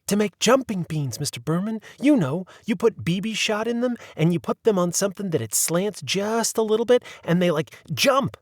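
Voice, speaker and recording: A man's voice: high-pitched, animated, moderate pace, few pauses, no echo, studio quality, pitched above the performer's own voice. A man voicing an eager young boy explaining something. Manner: eager, rising.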